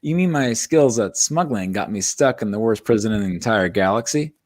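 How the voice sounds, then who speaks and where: A man's voice: on the low side, moderate pace, a little mellow, natural voice. A man playing a cocky, defensive washed-up smuggler in a comedic script read. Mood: wry, indignant. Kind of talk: comedic live table read of a film script.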